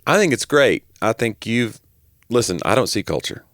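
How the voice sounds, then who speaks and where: A man's voice: medium pitch, big pitch swings, moderate pace, fairly bright tone, no room sound. An American man in his forties, a podcast co-host, chatting casually and wryly, close on the microphone. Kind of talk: casual chat. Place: small home room, indoors. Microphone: close podcast microphone on boom arm.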